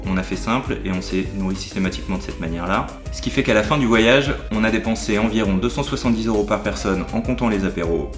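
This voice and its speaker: A man giving upbeat, chatty travel advice in a vlog voice-over. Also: fairly low pitch. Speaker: travel vlogger. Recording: roomy sound over background music.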